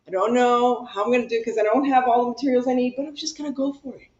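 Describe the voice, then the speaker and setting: A high, chatty woman hosts a craft session and rambles freely about whatever comes up. She laughs easily. The room is echoey and the sound dull and lo-fi. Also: fairly even intonation, rapid-fire, darkish tone. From Cuba. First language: Spanish. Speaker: craft channel host. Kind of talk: casual chat while crafting.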